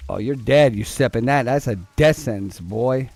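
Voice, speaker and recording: A young man with a low voice, streaming a game and chatting casually, rambling and cursing as he plays. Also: normal speed, creaky, a little mellow, dry recording. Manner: rambling, profane, joking, talks to chat and to the game. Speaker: gaming streamer. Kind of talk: casual gameplay commentary.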